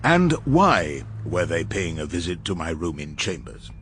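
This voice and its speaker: An actor playing an irascible, witty older English barrister who narrates his case in a radio drama, wry and measured. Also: deep voice, sing-song, fairly bright tone, slight background noise, heavily acted. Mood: wry narration. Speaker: barrister and first-person narrator.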